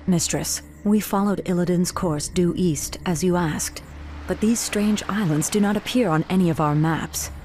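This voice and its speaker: A woman's voice: lowish voice, normal speed, a little mellow, slight background noise, close to an everyday voice. A woman voicing a dutiful night elf lieutenant in a fantasy game, earnest and deferential to her commander. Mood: respectful, puzzled. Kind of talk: dramatic fantasy game acting.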